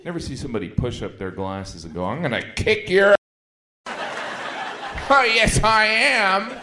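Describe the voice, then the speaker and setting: Stand-up man, self-mocking and dry, lively up-and-down delivery, booming club echo. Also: measured pace, noisy background. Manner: self-deprecating, dry punchlines. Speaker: stand-up comedian. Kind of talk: stand-up set on stage. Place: comedy club stage. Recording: echoey club with loud crowd laughter. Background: audience laughing.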